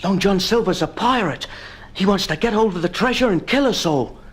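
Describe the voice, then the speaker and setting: A man's voice: high voice, lively intonation, fairly quick, breathy edge. An actor playing a plucky boy adventurer fleeing pirates in a children's audio drama. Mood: earnest.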